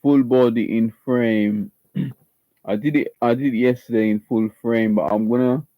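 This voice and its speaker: A man's voice: medium pitch, steady tone, unhurried, dry recording, phone-quality sound. A man with a slight Jamaican accent, chatting casually on a live stream and reading instructions aloud, often repeating and restarting his words. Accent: Jamaican-tinged. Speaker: livestreamer preparing an acting audition. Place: at home, seated.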